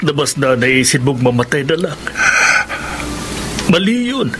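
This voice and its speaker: A man with a Filipino accent playing a remorseful, gently advising father in a radio drama, speaking in an earnest, measured, pleading way. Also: mid-range voice, very animated, very noisy. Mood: earnest, consoling. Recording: radio drama with loud music underneath.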